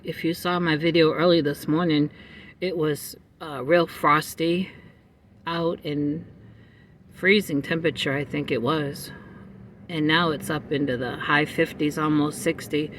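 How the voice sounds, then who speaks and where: A middle-aged man chatting to his viewers from a parked car, meandering with frequent pauses. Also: a little high, a bit bright, a little hiss or hum. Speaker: vlogger. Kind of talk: casual rambling chat to viewers. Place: parked car in a parking lot. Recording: slightly distant phone mic with faint ambience.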